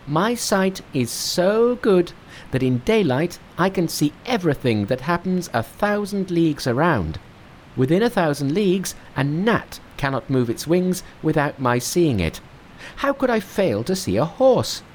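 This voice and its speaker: A man's voice: unhurried, slight background noise. A man voicing a brash, boastful, hot-tempered monkey king in a storybook reading. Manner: shrill, excitable, boastful.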